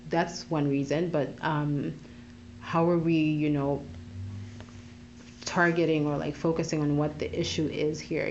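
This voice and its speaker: A woman from Zambia, a podcast guest who works with youth, talking in a thoughtful, searching way with many pauses and restarts. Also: low voice, darkish tone, highs cut off, a little hiss or hum. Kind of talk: casual interview talk.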